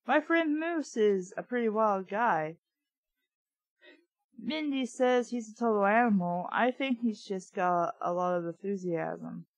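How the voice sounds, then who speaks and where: A woman's voice: mid-range voice, expressive, a little slow, no echo, rough recording. A woman reading a story aloud, breaking in with blunt, sarcastic, casual asides. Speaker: audiobook reader and commentator.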